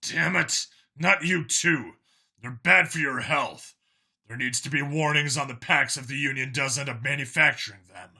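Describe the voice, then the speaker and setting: Audiobook role: weary human lawman, voiced by a man, skeptical, plain-spoken, dry wit, lower register. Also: moderate pace, sharp tone, breathy edge, full character voice. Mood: exasperated. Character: weary, blunt human male cop, skeptical and questioning. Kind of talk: audiobook character dialogue.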